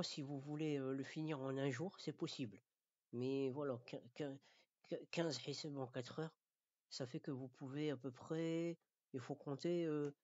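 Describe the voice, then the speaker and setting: A male teacher from France speaks in a mid-pitched voice, explaining things informally to learners. His delivery is halting, with repeated words and frequent checks that they follow. The recording is very dry and a little dull. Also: darkish tone, no room sound. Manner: didactic, checks understanding. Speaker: Quran recitation teacher. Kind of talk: informal spoken lesson addressed to learners.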